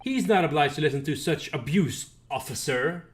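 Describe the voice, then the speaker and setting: Game role: young male defense counsel, read by a man, assured, commanding, higher register, animated. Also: moderate pace, close to an everyday voice. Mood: firm. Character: confident, firm young male defense lawyer, teasing friend.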